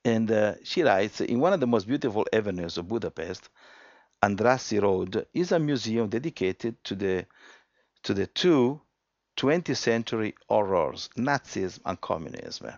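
A man with a low, gravelly voice delivers a sermon, reading scripture and explaining it with emphasis. He restarts often and swings from quiet stretches to loud ones. The recording is dry and dull. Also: expressive, moderate pace, dry recording. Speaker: preacher.

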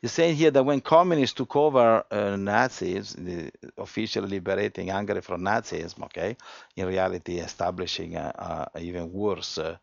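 A man preaching a sermon, reading scripture aloud and explaining it emphatically, with frequent restarts and swings from quiet to loud. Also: low voice, moderate pace, creaky, no echo, highs cut off.

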